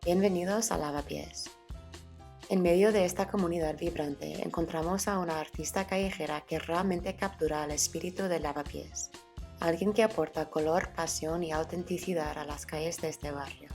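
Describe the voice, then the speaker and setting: A woman's voice: low-pitched, fairly even intonation, normal speed, darkish tone, faint music behind. A woman reading scripted documentary narration in a polished, welcoming storytelling manner.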